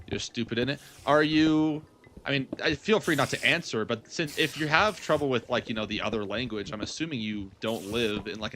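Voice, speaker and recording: A man's voice: medium pitch, pushed and bright, a little hiss or hum. A young man chatting casually as he hosts a gaming livestream, rambling and thinking aloud as he answers his viewers. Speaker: livestream gamer host.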